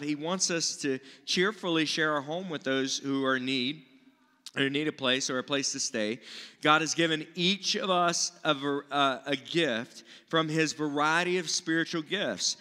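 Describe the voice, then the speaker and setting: A man's voice: a little high, bright, forceful tone. A man in his forties, a pastor giving an earnest, informal, conversational Bible teaching from a stage. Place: stage of a church auditorium with seated audience. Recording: reverberant large-hall stage sound.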